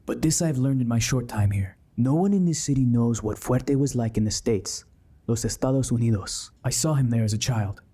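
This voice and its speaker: An actor playing a brash, resentful young villain luchador in an audio drama, cocky and sneering. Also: male voice, medium pitch, moderate pace, warm tone, breathy edge, natural voice. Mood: bitter, scornful. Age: young adult.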